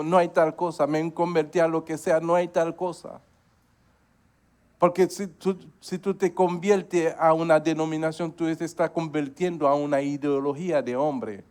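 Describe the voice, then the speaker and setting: A male pastor delivers a sermon, his somewhat high voice swelling on emphatic points and sinking low at the ends of phrases. He keeps punctuating his preaching with amens. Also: a little mellow. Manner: preaching with frequent amens, rising emphasis and sudden drops.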